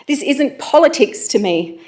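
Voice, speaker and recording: A woman's voice: high voice, expressive, unhurried, a little mellow. Earnest Australian woman councillor. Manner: earnest, confident, read-out. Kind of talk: prepared council speech.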